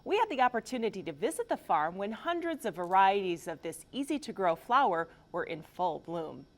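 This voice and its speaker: A woman's voice: medium pitch, very animated, a little fast. A woman reading a scripted documentary narration as the voice of a program.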